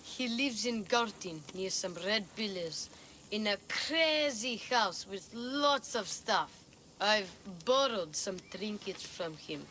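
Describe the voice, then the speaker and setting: Casting: streetwise game child, cheeky and defiant, slight noise behind. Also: female voice, medium pitch, lively intonation, takes it slowly, pushed and bright. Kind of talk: video game cutscene acting.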